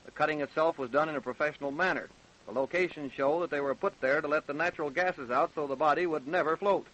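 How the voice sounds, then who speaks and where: Forceful, folksy sheriff, acted by a man. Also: on the high side, muffled recording, lightly acted. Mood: accusing. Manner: folksy, deliberate, probing. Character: folksy, shrewd small-town sheriff, patiently accusing. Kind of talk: old radio crime drama acting.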